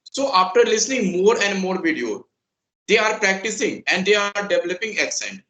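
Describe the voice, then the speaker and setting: A man's voice: sits high, sing-song, normal speed, bright, forceful tone, reverberant, rough recording. A man from Bihar speaking English with an Indian accent, answering an interviewer earnestly and politely, often addressing him as sir. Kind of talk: casual conversational interview.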